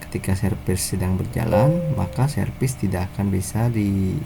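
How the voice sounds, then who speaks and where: An Indonesian man giving an informal software tutorial in a casual, halting, step-by-step way, as a developer showing his own app. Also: low voice, gravelly, music in the background.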